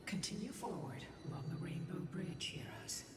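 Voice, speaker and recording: An actress playing a cool, solemn, mysterious guide in a video game, with soft, breathy, measured delivery. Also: female voice, on the low side, lively intonation, close to an everyday voice. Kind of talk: dramatic game dialogue. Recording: heavy echo, distant, loud game music.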